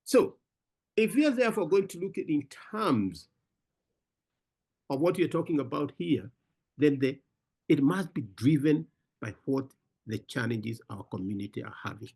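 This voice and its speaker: Animated gravelly-voiced man speaking at a conference. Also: on the high side, moderate pace, long pauses. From Africa. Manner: emphatic, repeats words, addresses listener as brother. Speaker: conference speaker answering questions. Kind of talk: animated panel talk. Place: online conference.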